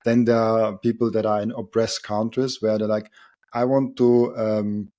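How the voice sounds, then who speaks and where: Man, German accent, podcast host, low voice, monotone, halting, lots of fillers. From Austria. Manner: halting, many fillers and restarts, trails off quietly. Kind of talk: casual interview talk.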